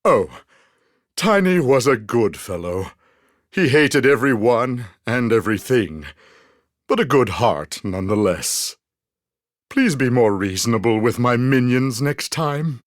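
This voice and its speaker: A man's voice: medium pitch, animated, unhurried, no echo, clean studio sound, close to an everyday voice. A man voicing a pompous, scheming, exasperated mad scientist villain in a theatrical video game style. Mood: mock mournful.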